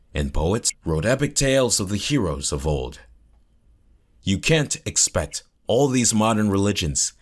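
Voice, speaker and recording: A man acts as a learned historian being interviewed in an audio drama. His low voice is grave and measured, pontificating with rhetorical questions. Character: grave, opinionated male academic historian.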